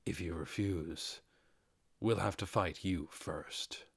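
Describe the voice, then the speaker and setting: Lizardman warrior, read by a male narrator, fantasy audiobook, lowish, dark, cold, pragmatic, deliberate, dry sound. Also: vocal fry, breathy edge, no echo, full character voice. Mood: dark, threatening. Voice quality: dark-toned.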